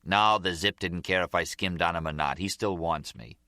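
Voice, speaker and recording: A man's voice: low voice, moderate pace, sharp tone, no echo, studio quality. A man voicing a rattled, exasperated man on the run in an audiobook crime story, bitter and questioning. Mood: anxious, baffled. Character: rattled, bitter male fugitive Harry, hunted by mobsters.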